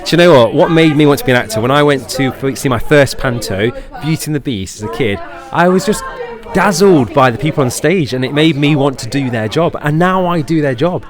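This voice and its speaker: A man's voice: fairly high pitch, animated, fairly quick, a crowd in the background. A man chatting playfully in an interview as a pantomime actor, with a camp, effusive manner full of endearments. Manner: camp, effusive, calls people darling and babes.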